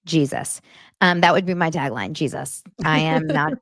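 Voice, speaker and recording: Chatty woman with a lowish voice. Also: normal speed, no room sound, clean studio sound. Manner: animated storyteller who acts out quotes. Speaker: podcast guest and YouTube show host. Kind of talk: casual gossip chat.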